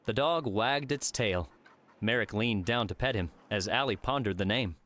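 A man's voice: on the low side, moderate pace, fairly bright tone. A man reading a work of fiction aloud as scripted audiobook narration.

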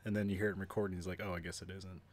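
A man co-hosting a podcast who sounds a little stuffy, talking in halting bursts full of fillers. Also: sits low, little pitch movement. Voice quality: sounds stuffy, as if he has a cold. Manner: halting, filler-heavy, quick to laugh. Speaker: podcast co-host. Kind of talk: casual banter.

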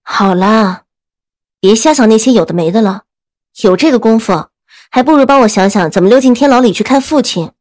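A woman playing a calm, weary young lady of a noble house in an audio drama, composed and matter-of-fact. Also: fairly high pitch, fairly quick, dry recording, close to an everyday voice. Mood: mildly chiding.

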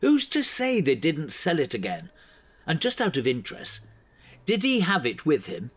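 An actor playing an exasperated, bossy newspaper editor in an audio drama, blunt and scolding. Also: male voice, a little high, huge pitch swings, normal speed, slightly rough, exaggerated voice. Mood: sceptical.

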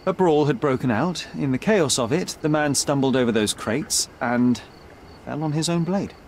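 An actor playing a young detective in a game, articulate, precise and self-assured. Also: male voice, on the high side, normal speed, slight background noise, close to an everyday voice. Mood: confident, probing. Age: young adult. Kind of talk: dramatic game cutscene dialogue. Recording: faint night ambience behind.

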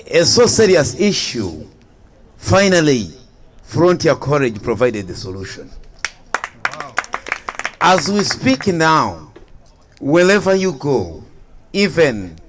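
A young man giving an emphatic, showy persuasive debate speech into a handheld mic at an outdoor evening event. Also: on the high side. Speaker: debate participant promoting his college.

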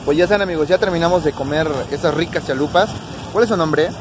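Higher-voiced young Mexican man on noisy street. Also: fast. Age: 20s. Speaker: food vlog host. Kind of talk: casual street vlogging, talking to camera. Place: outdoors on busy city streets. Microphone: camera held at arm's length. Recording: loud street traffic and crowd noise.

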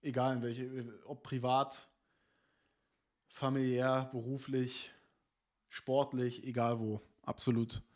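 Casual German-speaking streamer, relaxed. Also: male voice, medium pitch, slow pace, long pauses. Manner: reads text aloud, then comments in loose asides with fillers. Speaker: streamer reading and reacting to an interview. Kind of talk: casual chat, reading an article aloud. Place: small room indoors.

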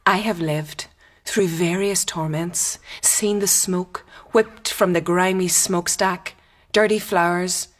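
A woman's voice: lowish voice, steady tone, slow pace. An actress playing a cool, mocking, clever young upper-class woman in a radio drama, with an arch, self-possessed manner. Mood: declaiming.

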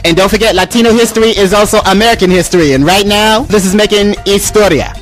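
A man's voice: sits high, fast talker, faint music behind. A man hosting a radio show, brash and informal, mixing English with bits of Spanish. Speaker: radio host. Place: radio studio, music behind.

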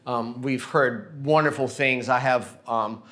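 A man's voice: mid-range voice, slow, sharp tone. A man in his forties, a chef, talking in a friendly, filler-filled way. He stands indoors in a restaurant beside a colleague. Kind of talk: casual interview.

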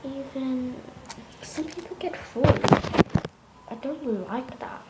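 Slow, rambling high-voiced woman. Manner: rambling, with many fillers and restarts. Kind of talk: casual toy vlog. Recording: noisy home phone recording.

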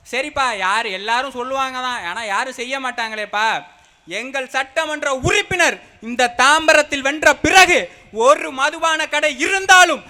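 A young man delivers a fiery rally speech in a very high voice. His tone is piercing and sharp. He swings between street slang and formal oratory with strong emphasis. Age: young adult. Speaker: party speaker at a public meeting.

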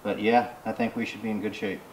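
A man's voice: on the low side, quick pace, slight background noise. A middle-aged man casually explaining his building work with many fillers, recorded on a camera mic inside an unfinished cabin. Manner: relaxed, folksy, talks while working with many fillers. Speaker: homesteading vlogger. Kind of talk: casual how-to narration while working. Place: unfinished cabin interior with plastic-sheeted walls. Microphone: camera mic from across the room. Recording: roomy, slightly echoey camera audio.

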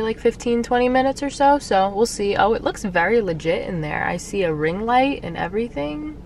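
A young woman vlogging to a camera at arm's length from her parked car, chatty and animated. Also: mid-range voice, vocal fry, far from the mic, a little hiss or hum. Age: young adult. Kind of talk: casual vlog chat. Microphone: camera held at arm's length.

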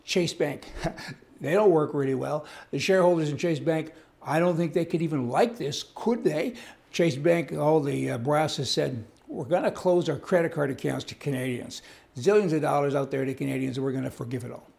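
A man's voice: on the high side, normal speed, creaky, a little mellow. An older man, giving indignant, rambling, folksy news commentary as host, reading to camera on an indoor studio set. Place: indoor studio set with wood-panel wall.

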